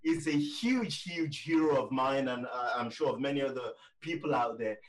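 A man's voice: mid-range voice, moderate pace, distant mic. A man hosting a podcast interview in an enthusiastic, emphatic, conversational manner.

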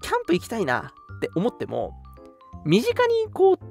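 A young man co-hosting a radio show in casual, agreeable chat, full of quick words of assent. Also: high voice, wildly swooping pitch, creaky, darkish tone. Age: young adult. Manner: agreeable, chatty, frequent backchannels. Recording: music bed under the talk. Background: background music.

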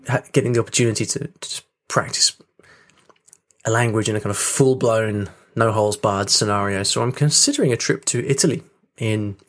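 A man hosting a podcast in casual solo chat, talking in a chatty, rambling way with restarts. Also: on the low side. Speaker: podcast host. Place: home room with rain on the windows.

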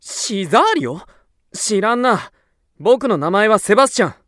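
An actor in a radio drama playing a bold, cool young gentleman swordsman, curt and confident. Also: medium pitch, expressive, moderate pace, dry recording, clean studio sound, natural voice. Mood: cool, defiant.

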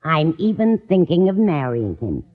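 A woman's voice: deep voice, very animated, moderate pace. A coy, lovestruck older landlady from a 1950s radio comedy. Her delivery gushes and swoops with dramatic phrasing. The sound is thin and tinny, like an old broadcast. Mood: coy, pleased. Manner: gushing, endearing address, dramatic phrasing. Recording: tinny, narrow-band old radio recording.

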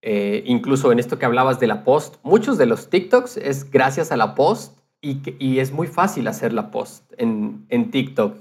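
A man's voice: medium pitch, lively intonation, normal speed, few pauses. A man chatting casually as a speaker on a conversational podcast. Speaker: podcast co-host or guest.

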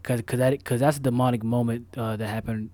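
A man's voice: mid-range voice, steady tone, fast talker, mellow. A man co-hosting a podcast in casual chat, rambling with repeated words and slang. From Florida. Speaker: podcast co-host.

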